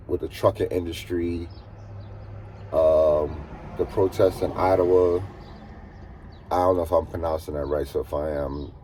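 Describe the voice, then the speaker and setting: A man's voice: sits low, dark tone. A man in his thirties with an American accent, chatting casually to viewers as a truck driver vlogging, repeating himself for emphasis. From eastern United States. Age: 30s. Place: inside a truck cab. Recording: clear vehicle noise behind voice.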